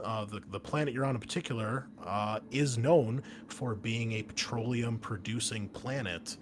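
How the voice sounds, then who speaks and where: A man's voice: lowish voice, background noise. A man speaking as game master, explaining a tabletop roleplaying scenario in a conversational, improvised way. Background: background music.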